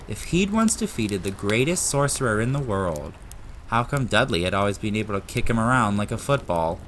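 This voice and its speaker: A man delivers dramatic audiobook narration in a bright, forceful voice of medium pitch. Faint ambient effects sit behind the reading. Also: big pitch swings, a little fast, slight background noise. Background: ambient sound effects.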